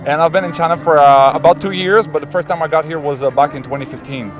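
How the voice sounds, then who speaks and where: A man from Canada telling lively stories in a street interview. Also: a little high, fast talker, faint music behind. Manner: animated storyteller, laughs. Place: city street, outdoors.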